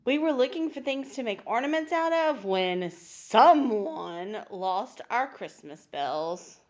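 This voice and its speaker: Casting: woman to play a helpful, patient puppet pal for a kids' show, unhurried with lively intonation. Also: fairly high pitch, dull audio. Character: patient, helpful puppet friend on an ornament quest. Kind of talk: children's puppet show acting.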